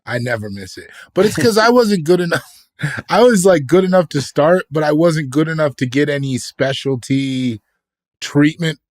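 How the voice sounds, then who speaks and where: A man's voice: a little high, expressive, normal speed, dry recording, clean studio sound. A man co-hosting a podcast, trading casual comedic banter in a joking, informal way. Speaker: podcast host.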